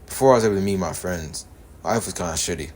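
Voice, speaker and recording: A man voicing an earnest, guarded young male devil hunter from another universe, delivering animated-story dialogue. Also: low-pitched, fast, a little hiss or hum, lightly acted. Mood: earnest, reflective. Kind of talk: animated-series character dialogue, recorded in repeated takes.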